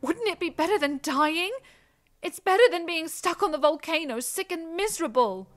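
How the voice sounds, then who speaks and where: A young dragon daughter played by a woman pleads in a very high, animated voice. She is timid yet earnest and hopeful. It is fantasy audio drama acting with music underneath. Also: moderate pace. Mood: pleading, hopeful.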